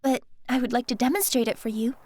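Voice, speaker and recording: Woman acting a coy, high-voiced seductress. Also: fairly even intonation, fast, a little mellow, husky. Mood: coy, polite. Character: poised, secretly scheming seductress on a date. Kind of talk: audio drama acting.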